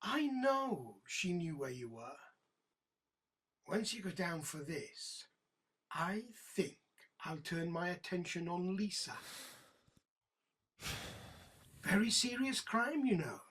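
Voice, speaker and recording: Husky, menacing police detective. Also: female voice, fairly low pitch, big pitch swings, slow, pauses often, a bit bright, exaggerated voice. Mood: quietly menacing. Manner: taunting, sarcastic, mock-sweet. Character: menacing, mocking police detective inspector leaning on suspects. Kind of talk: audio drama acting.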